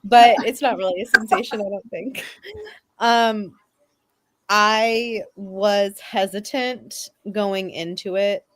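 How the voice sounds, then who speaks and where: A woman chatting casually as a panelist and convention staffer. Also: medium pitch. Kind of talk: casual chat.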